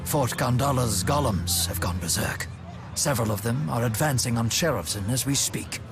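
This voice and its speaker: An actor playing a courteous older gentleman strategist in a video game, speaking in a formal, measured, polite way. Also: mid-range voice, animated, close to an everyday voice. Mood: grave, composed. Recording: loud music behind the voice. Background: loud background music.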